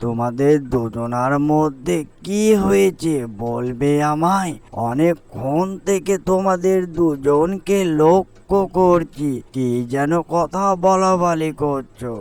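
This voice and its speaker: A man voicing a wise, fair-minded elder uncle in a cartoon, questioning and admonishing in a measured way. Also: on the high side, a little mellow, no room sound. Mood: concerned, inquiring. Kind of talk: cartoon character dialogue.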